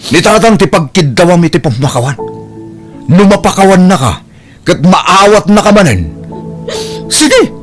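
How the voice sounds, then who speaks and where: A man's voice: high-pitched, very animated, moderate pace, long pauses, over music. A man playing a stern, exasperated young husband protective of his father, in an audio drama with an Ilocano accent. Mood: stern, relenting.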